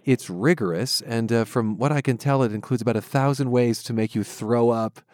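A male radio host with an American accent and a medium-pitched voice. He reads narration and puts questions to guests, recorded dry with very little room sound. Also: normal speed, darkish tone, dry recording. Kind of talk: scripted narration and interview.

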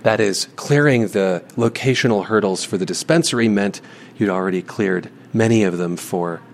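A man reporting a news piece and interviewing a guest in a measured, inquisitive way. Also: fairly low pitch, creaky, slight background noise. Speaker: radio reporter.

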